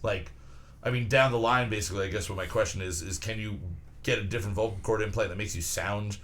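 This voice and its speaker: A man's voice: on the low side, fast, fairly bright tone, slight background noise. A man hosting a casual podcast, asking lots of follow-up questions and rambling through examples with casual swearing. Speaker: podcast host. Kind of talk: casual chat. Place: houseboat.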